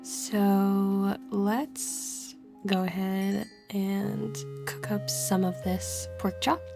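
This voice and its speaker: A woman with a stuffy, congested voice from a cold, chatting casually through her gameplay and laughing softly between thoughts. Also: mid-range voice, level tone, very slow, vocal fry, over music. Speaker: let's play host.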